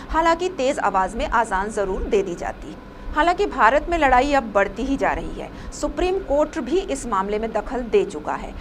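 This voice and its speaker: A woman reading a scripted news report as a presenter, with some noise behind her. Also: a little high.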